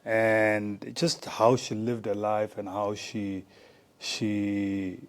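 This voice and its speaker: Guest, male, 40s, from Zimbabwe; lower voice, relaxed and searching for words. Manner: halting, many fillers and restarts. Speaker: guest, former rugby player. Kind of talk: casual interview chat.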